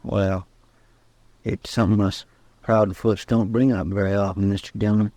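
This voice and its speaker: An actor playing a homespun, earnest, deferential marshal's sidekick with a rural American drawl in an old-time radio western. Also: male voice, lowish voice, level tone, normal speed, dark tone, dry recording, lightly acted. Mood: folksy, confiding.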